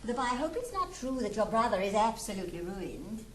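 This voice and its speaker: A gossipy English society lady in a period comedy. She gushes with false sympathy in a high, very animated voice. The room rings around her on an older studio recording. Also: brisk. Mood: falsely sympathetic. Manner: gushing, affected, exclamatory. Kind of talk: theatrical Restoration-style stage comedy acting. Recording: roomy 1960s studio recording with hiss.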